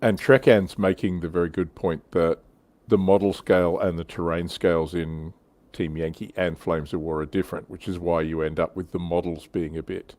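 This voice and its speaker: A man with a low voice hosts a relaxed, conversational livestream panel about a hobby. The sound is close and dry, with very little room around him. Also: normal speed, dry recording. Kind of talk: casual hobby discussion.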